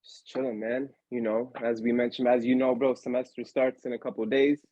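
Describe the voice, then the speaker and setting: A young man hosts a casual podcast in a relaxed, slangy way and hypes up his guest. His mid-range voice comes through a dull, dry, lo-fi mic. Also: medium pitch, a little fast, no room sound. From Allentown, Pennsylvania, USA. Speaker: podcast host.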